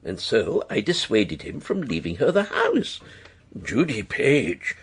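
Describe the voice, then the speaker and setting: A woman's voice: very deep voice, huge pitch swings, slow, exaggerated voice. An actress playing an elderly, fussy mother in a radio drama, rambling and wavering. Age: older. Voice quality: creaky, breathy, swooping voice.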